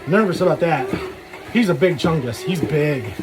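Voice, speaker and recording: A man's voice: on the high side, expressive, moderate pace, noisy background. A man giving a casual, rambling solo vlog monologue in frank, slangy, sweary talk. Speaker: solo vlogger.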